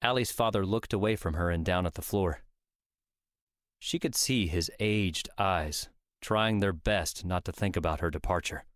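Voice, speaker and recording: Deep-voiced male audiobook narrator. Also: moderate pace, no echo, clean studio sound. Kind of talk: scripted narration.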